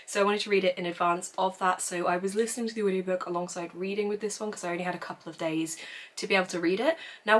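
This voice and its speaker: A young woman with a hoarse, croaky voice from losing it, chatting casually through a book review. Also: mid-range voice, a bit bright. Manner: chatty, self-deprecating, laughs often. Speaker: book vlogger.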